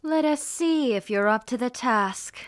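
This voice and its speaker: A woman playing a bitter, haughty, scheming rebel warlord princess in a scripted roleplay, speaking formally and deliberately with theatrical delivery. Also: a little high, expressive, dry recording. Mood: teasing, haughty. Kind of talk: scripted roleplay monologue to the listener.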